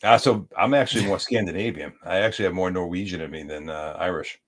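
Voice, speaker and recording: A man's voice: sits low, quick pace. A man from the United States chatting as a podcast guest, easygoing and rambling as he tells stories. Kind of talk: casual chat.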